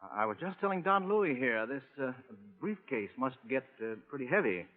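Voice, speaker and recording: A man's voice: mid-range voice, sing-song, normal speed, lightly acted. A man playing an evasive doctor in a vintage radio drama, hesitant and stammering. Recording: muffled old radio with long reverb.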